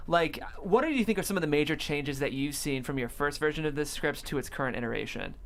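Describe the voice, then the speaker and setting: A man's voice: on the high side, animated, fast, gravelly, a bit bright. A man hosting a conversational interview, thoughtful and complimentary, choosing his phrasing with care. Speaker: host of a script table-read show.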